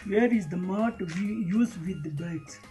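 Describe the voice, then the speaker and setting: A woman with a husky voice reading a children's story aloud, a little haltingly. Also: on the low side, warm tone, soft background music. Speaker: story reader.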